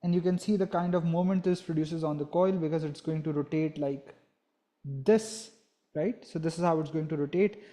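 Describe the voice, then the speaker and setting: A man's voice: a little high, steady tone, moderate pace, warm tone. A young man giving a physics lesson, explaining step by step and often checking in with a "right?". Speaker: physics teacher.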